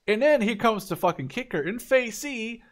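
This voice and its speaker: A man's voice: high-pitched, expressive, normal speed, a bit bright. A man in his twenties giving casual, enthusiastic game commentary, informal and swearing freely. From Canada or Netherlands, Dutch. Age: 20s. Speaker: gaming video creator.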